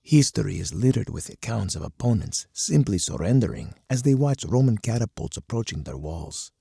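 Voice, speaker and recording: Hawkish game colonel: low, gravel-throated and husky, stern, measured, uncompromising strategist. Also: male voice, sing-song, mellow, no room sound, studio quality, heavily acted. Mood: resolute. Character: uncompromising hawkish male army colonel, grim military strategist. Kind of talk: scripted game voice lines.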